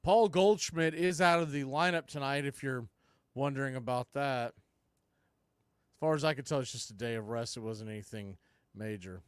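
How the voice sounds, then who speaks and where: Clear-voiced man calling baseball play-by-play. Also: moderate pace, no room sound, clean studio sound. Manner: casual partisan home-team asides between clipped pitch calls. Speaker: baseball play-by-play announcer and stream host. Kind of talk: live baseball play-by-play commentary for a fan watch party.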